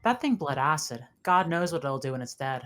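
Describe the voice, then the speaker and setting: Woman reading as a wary senior space officer, deep for a lady, firm and challenging, sci-fi script read. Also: expressive, a little fast, fairly bright tone.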